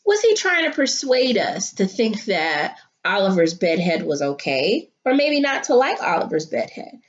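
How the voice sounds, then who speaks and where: A woman teacher reading a picture book aloud to children and talking them through it, with expressive, dramatic delivery and drawn-out emphasis. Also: medium pitch, dull audio. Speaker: elementary school teacher.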